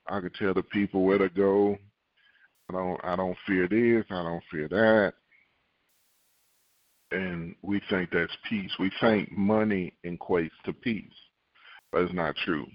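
A man preaching a sermon, reading scripture and acting out worried believers in a raised voice, repeating key lines slowly for emphasis. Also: deep voice, fairly even intonation, dry recording, lo-fi sound. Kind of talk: podcast sermon.

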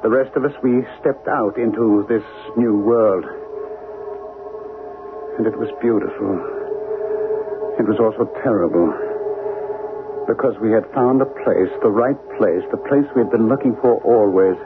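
An actor playing an obsessed, frightened husband in a 1970s radio drama, speaking earnestly and urgently with dramatic pauses. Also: male voice, fairly low pitch, narrow, tinny audio, music in the background. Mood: haunted, dreamy. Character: obsessed, frightened husband convinced of alien invasion. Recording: noisy, band-limited 1970s radio broadcast.

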